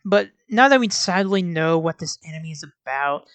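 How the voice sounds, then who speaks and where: A woman's voice: on the low side, animated, moderate pace, no echo. Wry woman commentator, close dry sound. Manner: wry, sarcastic, informal with swearing. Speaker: video essay host. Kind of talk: casual scripted commentary with asides.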